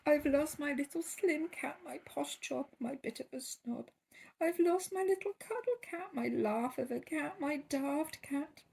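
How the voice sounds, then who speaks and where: A woman acts out a fond, plaintive female pet owner from a storybook. The voice sits high and moves slowly and tenderly. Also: expressive, gravelly, darkish tone, full character voice. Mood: sad, plaintive. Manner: plaintive, slow phrasing. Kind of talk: storybook character voice.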